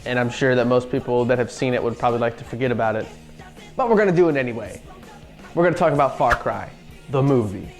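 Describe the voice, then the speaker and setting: A man's voice: mid-range voice, quick pace. A man co-hosting a semi-scripted video review in a sardonic way, as commentary. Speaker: co-host. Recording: music behind the voice.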